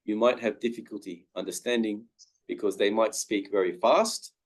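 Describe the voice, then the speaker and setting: A man from Australia with an Australian accent, giving a careful, clear talk as a webinar guest speaker. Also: fairly low pitch, fairly even intonation. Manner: slow, careful, clear explanation.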